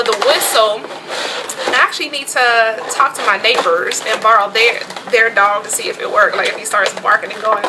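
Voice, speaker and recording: A woman with a fairly high, bright voice chats informally through a product show-and-tell. Her delivery is conversational and full of asides. Faint background noise sits behind her. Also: rough recording. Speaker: vlogger presenting emergency gear.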